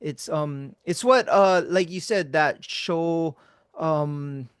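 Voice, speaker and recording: A man hosting a podcast, chatting casually with a friend in a relaxed, laid-back way, swearing freely and trailing off into quiet mumbles. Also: a little high, takes it slowly, clear, resonant tone, dry recording, studio quality. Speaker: podcast host.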